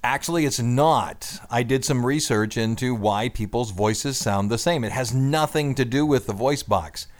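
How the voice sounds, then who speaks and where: A man hosting a conversational radio talk show with a radio announcer's deep voice, explaining and bantering in an opinionated way. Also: normal speed, few pauses.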